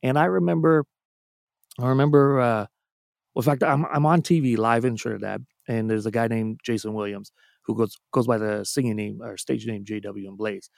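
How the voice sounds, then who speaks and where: A man's voice: mid-range voice, steady tone, moderate pace, gravelly, no room sound, clean studio sound. A Canadian man chatting casually, telling long anecdotes with frequent restarts. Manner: storytelling, self-deprecating, many restarts. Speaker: music producer and guest. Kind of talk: casual podcast chat.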